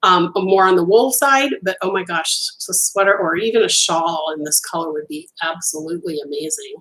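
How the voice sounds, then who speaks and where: A woman's voice: lowish voice, normal speed, a bit bright, rough recording. A middle-aged woman whose first language is Italian, chatting enthusiastically through a casual live-stream unboxing, with lots of ums. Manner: chatty and enthusiastic, gushing over colors, many ums. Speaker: crochet designer hosting a live stream.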